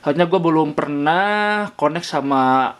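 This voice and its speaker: A man's voice: fairly high pitch, unhurried, a bit bright. A man giving a vlog product review, explaining points and often rephrasing them. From Indonesia. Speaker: motovlogger reviewing gear.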